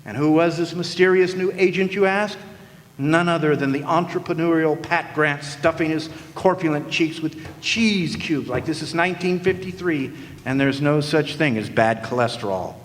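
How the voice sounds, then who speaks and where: Guy acting wisecracking noir detective for radio theatre, theatrical, slightly high voice, echoey hall. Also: normal speed, natural voice. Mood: smug. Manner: theatrical, sardonic, slangy delivery. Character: wisecracking, cocky hard-boiled male private detective. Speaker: narrator and lead actor in radio play. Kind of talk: hard-boiled detective radio play acting. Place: live radio play in a hall. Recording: live stage, long hall reverb.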